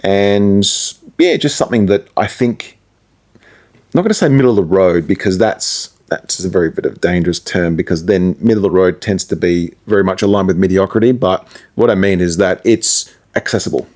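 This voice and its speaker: A man's voice: deep voice, fairly quick, a little mellow. A middle-aged man with an Australian accent, a radio presenter talking casually in an interview and thinking aloud as he goes. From Perth, Australia.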